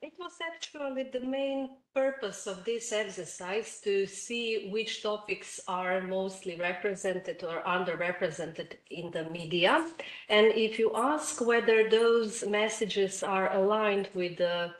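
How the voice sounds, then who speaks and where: A woman professor answering a question on a webinar in a measured, careful way, with fillers. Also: mid-range voice. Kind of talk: academic answer. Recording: echoey room.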